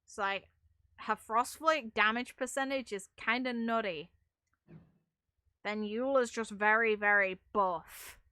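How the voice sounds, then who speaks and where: A woman's voice: a little high, slow pace, pushed and bright, clear, resonant tone, no echo, studio quality. A woman giving casual, opinionated game commentary, speaking bluntly and informally as a video creator. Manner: blunt, informal, mild swearing. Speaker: gaming video creator and streamer.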